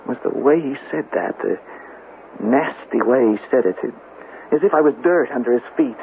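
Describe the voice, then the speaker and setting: A man's voice: mid-range voice, normal speed, gravelly, close to an everyday voice. An actor playing a desperate young man in his twenties accused of murder, stammering and pleading in a 1970s radio drama style. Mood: defensive, bitter. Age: 20s. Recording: noisy phone-like old radio drama recording.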